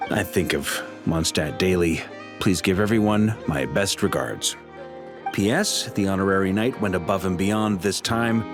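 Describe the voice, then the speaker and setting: Deep, lively, wry knight commander played by a man. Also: lightly acted. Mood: breezy, fond. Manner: wry, breezy, mock-boastful. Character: roguish, easygoing male knight commander writing home. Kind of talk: video game cutscene letter voice-over.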